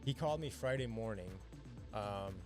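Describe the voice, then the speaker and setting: A man hosting a podcast, chatting quickly and eagerly about detailing work with his co-host. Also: on the low side, over music. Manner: fast, upbeat and chatty. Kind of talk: casual chat.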